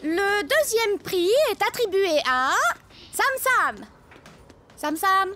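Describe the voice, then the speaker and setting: A woman's voice: extremely high pitch, very animated, takes it slowly, full character voice. A woman voicing an emphatic, strict but kindly schoolteacher in a children's cartoon. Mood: pleased, announcing. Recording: loud music bed behind. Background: loud music.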